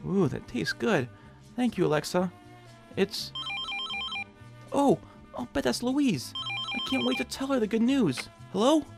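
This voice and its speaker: Nerdy meek male clerk, creaky voice, whiny, up and down a lot, radio play. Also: extremely high pitch, big pitch swings, measured pace, long pauses, soft background music, full character voice. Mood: giddy. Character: timid, eager, put-upon nebbish male clerk. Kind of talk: audio drama acting.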